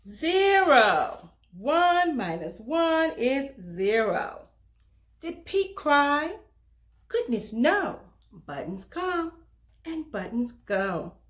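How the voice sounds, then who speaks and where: A woman reads a children's storybook aloud as host, in an expressive, emphatic manner that speaks directly to young listeners. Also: high voice, very slow. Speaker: children's book reader and host.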